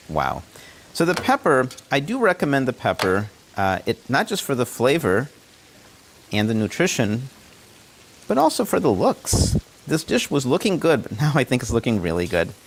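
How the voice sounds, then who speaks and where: A man showing a dish in his home kitchen over a video call, chatty and encouraging as he cooks and tastes. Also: medium pitch. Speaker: home cook presenter. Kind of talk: casual cooking demonstration. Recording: faint background noise.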